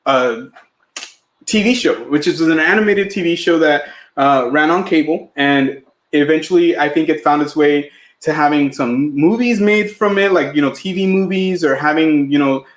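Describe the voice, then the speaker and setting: A man's voice: fairly high pitch, moderate pace, reverberant. A man co-hosting a live podcast, talking in a chatty way with lots of fillers and restarts; his family is Mexican and his first language was Spanish. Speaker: podcast co-host.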